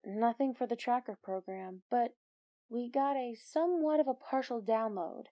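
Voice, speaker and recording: An actress playing a level-headed vigilante teammate in an audio drama, direct and reasonable while probing. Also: female voice, fairly high pitch, normal speed, no echo, muffled recording, natural voice.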